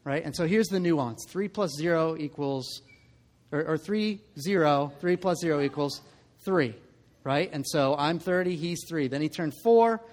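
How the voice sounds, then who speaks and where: High-voiced man in his thirties preaching. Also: measured pace, darkish tone, big-room sound. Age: 30s. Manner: conversational preaching, repeats words when starting phrases. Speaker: pastor. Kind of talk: sermon.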